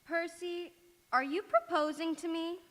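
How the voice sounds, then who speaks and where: A poised young lady of vintage radio drama, acted by a woman, with a high voice and a direct, lightly teasing delivery. She is heard in a hall with long reverb. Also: steady tone, a little slow. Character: frank, poised young woman being courted. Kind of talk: 1940s radio drama acting on stage.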